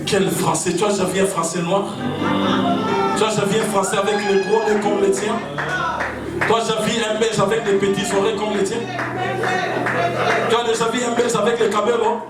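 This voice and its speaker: A high-pitched man with a Congolese French accent preaches to a packed gathering, throwing out shouted refrains in a driving, repetitive rhythm. His voice carries from well back in a cavernous, echoing hall. Music plays steadily underneath. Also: level tone, fairly quick, pauses often. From Congo (DRC). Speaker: preacher addressing a rally. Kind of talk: fiery political sermon. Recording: very echoey hall, far off, music behind. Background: music playing.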